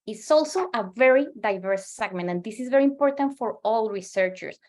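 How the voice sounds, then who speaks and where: A woman's voice: a little high, lively intonation, brisk, a little mellow. A woman in her forties from Colombia with a Colombian Spanish accent, a native Spanish speaker giving an earnest presentation as a market researcher, often restarting and repeating words. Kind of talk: presentation to a professional audience.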